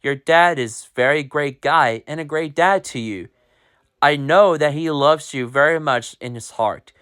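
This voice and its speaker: A reader voicing a friendly, loyal schoolboy in a homemade spoken story, pitched up. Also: measured pace, a bit bright, smooth, lightly acted.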